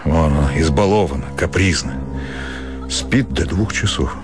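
An actor playing a weary, lovestruck country doctor who muses to himself in broken phrases in a radio play. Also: male voice, rumbling low, music in the background. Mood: self-doubting. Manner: slow musing, broken phrases, talking to himself. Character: weary young country doctor in love, brooding and torn. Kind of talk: radio play inner monologue.

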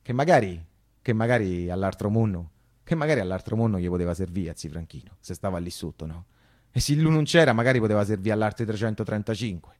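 A man's voice: lowish voice, expressive, fast talker, darkish tone, lightly acted. An actor playing an anguished working-class Roman man who recounts wartime life in a theatrical, colloquial monologue full of insistent repetition. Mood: subdued, mournful. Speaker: stage storyteller and actor. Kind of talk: theatrical first-person monologue for radio theatre.

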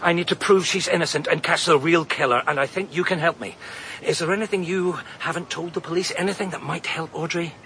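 A man's voice: fairly high pitch, fairly even intonation, brisk, pushed and bright, a little hiss or hum. An actor playing a determined man trying to prove a woman innocent, in a radio drama. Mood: urgent. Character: earnest protective man, determined to help. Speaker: McWhirter, amateur investigator. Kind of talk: BBC radio drama acting.

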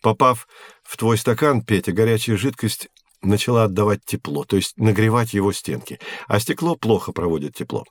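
Science teacher, played by a man for educational audio drama: low, patient, articulate, recorded dry. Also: no echo, lightly acted. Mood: friendly, instructive. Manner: measured, explanatory, articulate. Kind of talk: scripted educational audio play.

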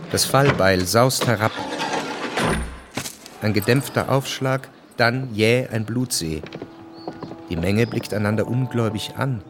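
A man giving measured, detached literary narration for a radio drama. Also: medium pitch, background noise, natural voice.

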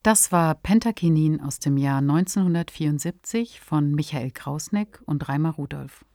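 A woman's voice: low voice, normal speed, mellow, no echo. A woman hosting a radio podcast, presenting and explaining in a studio. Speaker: podcast host. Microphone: close studio microphone.

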